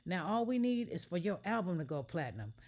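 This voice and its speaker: A man doing a thrilled, shouting big brother. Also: high-pitched, very animated, fairly quick, pitched above the performer's own voice. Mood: excited. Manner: exuberant, shouting praise. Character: excited older brother, loud and proud. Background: guitar music.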